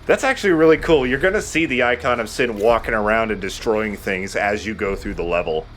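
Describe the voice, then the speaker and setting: A man's voice: lowish voice, fairly quick, a bit bright, a little hiss or hum. A man hosting a let's play, giving casual commentary on a video game.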